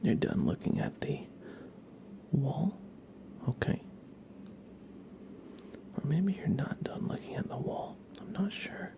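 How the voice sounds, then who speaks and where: A male ASMR streamer speaks in a breathy whisper, close to the mic. His delivery is gentle and unhurried, dipping into gravelly murmurs and lifting playfully with a lively swing in pitch. It feels like casual, quiet chat with viewers.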